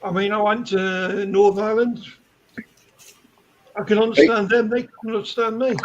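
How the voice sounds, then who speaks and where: A man's voice: high voice, flat delivery, moderate pace, dull audio. A man from the UK with a mixed British accent, a guest speaking plainly on a video call. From United Kingdom. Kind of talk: casual chat.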